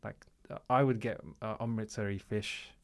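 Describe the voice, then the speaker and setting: A man's voice: on the low side, steady tone, moderate pace. A man, a guest speaking casually and hesitantly with many fillers. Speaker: photographer guest. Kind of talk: casual chat.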